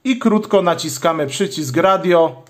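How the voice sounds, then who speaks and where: Man, fairly high-pitched voice, methodical, explanatory, step-by-step demonstration, channel host. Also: expressive, a little slow. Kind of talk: step-by-step hands-on tutorial.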